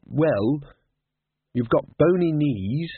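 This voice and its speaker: A man voicing a sharp, composed young woman who talks in a raised pitch, careful and direct, on a tinny lo-fi recording. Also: animated, drawn-out words, breathy edge, no room sound, exaggerated voice. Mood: earnest.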